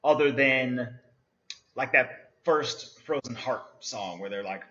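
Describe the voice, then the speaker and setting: A man co-hosting a casual podcast, talking haltingly with repeated words and fillers. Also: medium pitch, sharp tone, muffled recording. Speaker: podcast co-host. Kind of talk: casual chat.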